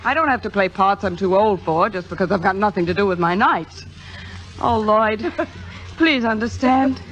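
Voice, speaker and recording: A woman's voice: mid-range voice, animated, moderate pace, lightly acted. A woman playing a grand, exuberant middle-aged stage star in a 1950s radio drama, theatrical, emphatic and playful. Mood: earnest. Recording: dull vintage radio recording with music.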